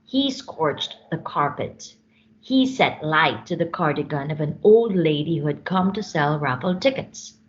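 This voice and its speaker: A woman reading a children's story aloud with expressive, dramatic emphasis. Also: low-pitched, measured pace, lo-fi sound.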